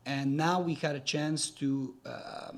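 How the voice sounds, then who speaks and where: A man in his forties, a native Serbian speaker raised in Quebec, talking as a podcast guest in a friendly, halting way full of fillers and restarts. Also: on the high side, fairly even intonation, gravelly. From Serbia, raised in Gatineau, Quebec. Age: 40s. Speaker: podcast guest, real estate developer. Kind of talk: casual interview talk.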